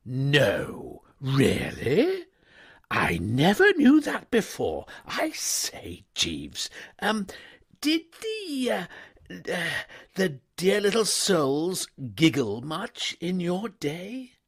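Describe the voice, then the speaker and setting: A man voicing a bright, excitable upper-class young English gentleman who stammers and chatters in breezy slang. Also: high voice, very slow, breathy edge, voice pushed up, full character voice. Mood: flustered.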